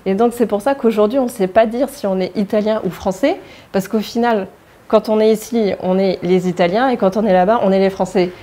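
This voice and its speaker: A woman speaking in a documentary interview, hesitant, with many pauses and fillers. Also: medium pitch, warm tone. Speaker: interviewee, child of immigrants.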